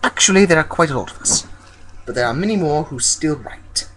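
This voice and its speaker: A man's voice: fairly high pitch, animated, a little fast, a bit bright, slight background noise, rough recording. A man voicing a short, good-natured, mischievous rat-man writer, chatty and fond of explaining, in an amateur storybook reading. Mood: earnest explaining.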